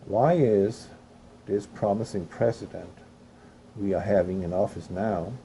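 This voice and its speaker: Low dark male voice from Europe, halting news reader with commentary, faint hiss behind. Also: creaky. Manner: halting reading with restarts and coughs. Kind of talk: reading news aloud with opinionated commentary.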